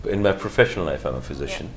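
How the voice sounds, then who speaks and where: A middle-aged man, a physician answering interview questions in a measured, halting way with many fillers and restarts. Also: rumbling low, expressive, slight background noise, rough recording. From Pakistan. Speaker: guest, physician.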